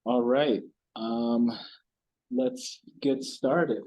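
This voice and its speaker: A man hosting an event, giving a halting introduction and stumbling over his words. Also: medium pitch, sing-song, vocal fry, mellow, rough recording. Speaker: event host.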